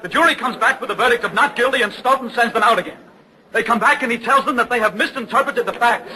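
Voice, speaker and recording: An outraged, frantic son in an old radio play. His voice is strained very high and cuts sharply as he protests with bitter, vehement indignation. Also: fast, sharp tone, some chatter behind, exaggerated voice, low-quality recording. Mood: frenzied indignation. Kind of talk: 1940s radio acting.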